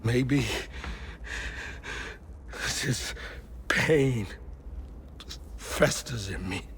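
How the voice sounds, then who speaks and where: A man playing a guilt-ridden, gentle but wounded character in a video game, with a Southern US accent and halting, confessional delivery. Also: mid-range voice, slight background noise. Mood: pained, ashamed. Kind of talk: naturalistic game drama acting. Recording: music behind the dialogue.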